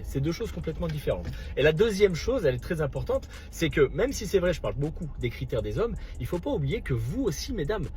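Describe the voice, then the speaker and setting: A man's voice: a little high, a little mellow. A man talking straight to his viewers in an emphatic monologue, addressing women directly.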